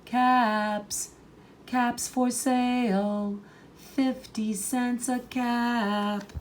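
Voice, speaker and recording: A woman voicing a storybook peddler man who calls his wares in loud sing-song and scolds crossly. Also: a little high, little pitch movement, extremely slow, slight background noise, pitched above the performer's own voice. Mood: calling out. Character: male cap peddler, calling his wares, growing cross.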